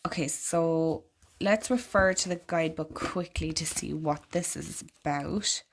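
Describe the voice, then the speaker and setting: A woman vlogger walking through an oracle card deck in casual, rambling chat, her voice slightly croaky and congested. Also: deep voice, a little slow, a little hiss or hum. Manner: chatty and rambling, lots of "like".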